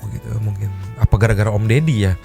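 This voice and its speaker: A man hosting a casual podcast, reacting to clips with animated, teasing commentary. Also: lowish voice, quick pace, vocal fry, soft background music. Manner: animated, joking, teasing asides. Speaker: podcast host.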